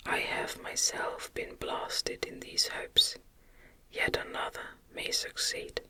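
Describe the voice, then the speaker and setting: A woman reading a novel aloud as ASMR narration in a gentle, soothing, breathy whisper. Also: rough recording.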